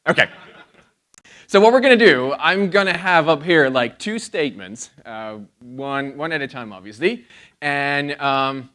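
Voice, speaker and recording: A man's voice: fairly high pitch, expressive, a little slow. A man in his thirties from outside the US, giving a chatty conference talk on a theater stage with a lapel mic. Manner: loud, chatty, self-deprecating. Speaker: conference speaker.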